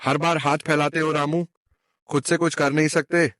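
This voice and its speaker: Male actor as sneering villager, stingy, scolding, cartoon moral tale, fairly high voice, music behind. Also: level tone, normal speed, lightly acted. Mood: scornful. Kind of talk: cartoon moral story acting.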